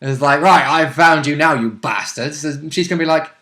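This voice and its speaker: A man's voice: on the high side, moderate pace, pushed and bright. A British man chatting casually about a game session as a player, jokey and sweary, often quoting characters.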